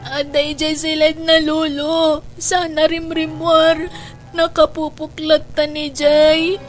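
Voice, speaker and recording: A woman acting a very high-pitched, anxious young daughter. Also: monotone, takes it slowly. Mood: sad. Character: young village daughter, anxious, tender, plaintive. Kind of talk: Ilocano radio drama acting. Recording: music behind. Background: music.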